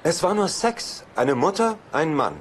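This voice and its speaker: Neurotic sitcom bachelor, mid-pitched and very animated, self-pitying sarcasm, noisy TV audio. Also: male voice, measured pace. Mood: distraught, exasperated. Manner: dramatic, exasperated. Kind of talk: German sitcom dubbing.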